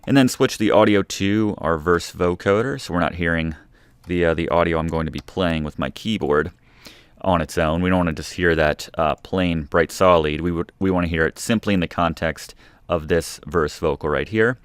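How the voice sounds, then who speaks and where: A man's voice: low-pitched, moderate pace, smooth, no room sound. A man narrating a music production tutorial in a casual, informal, explanatory way. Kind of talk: casual instructional screen-recording narration.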